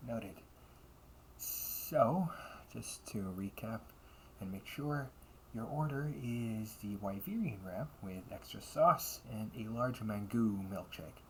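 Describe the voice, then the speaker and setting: Performer acting a delinquent older brother as cafe butler, medium pitch, formal politeness, grudging edge, amateur audio roleplay, slight background noise. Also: animated, slow pace, poor audio. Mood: courteous, restrained. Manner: stiffly formal service politeness, slipping into muttered threats.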